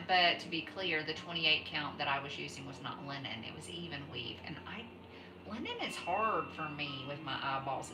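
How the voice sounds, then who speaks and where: A woman's voice: on the low side, distant mic, background noise. A middle-aged woman chatting casually while knitting on a craft livestream, rambling and interrupting herself often. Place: home. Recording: echoey room, voice off-mic, music behind.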